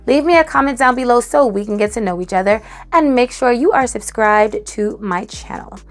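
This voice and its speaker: A woman with a hoarse, raspy voice after a cold, chatting animatedly as she reviews a perfume. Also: on the high side, normal speed. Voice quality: raspy, dry, cracking. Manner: animated, emphatic, tosses in "okay?". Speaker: fragrance reviewer. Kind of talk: casual chatty review to camera.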